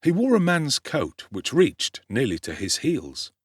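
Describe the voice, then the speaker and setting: Male narrator for classic fiction, expressive and dramatic, with sweeping intonation in a dry studio sound. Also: medium pitch, a little slow, breathy edge, dry recording. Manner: theatrical, expressive. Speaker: audiobook narrator.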